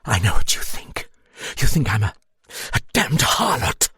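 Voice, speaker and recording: Tipsy young Englishwoman, put on by a male reader, English accent, slurred and raised in pitch, defiant then self-pitying, for a mystery audiobook. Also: very animated, measured pace, a little mellow, breathy edge, no echo, clean studio sound, exaggerated voice. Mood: bitter. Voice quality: slurred, broken voice. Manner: swings between surly defiance and self-pity.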